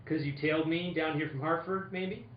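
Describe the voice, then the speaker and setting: Male private eye played by an actor, wry and suspicious, halting delivery, radio detective play, roomy dull recording. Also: on the high side, steady tone, lightly acted. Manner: stammering, halting. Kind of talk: live reading of old-time radio detective drama.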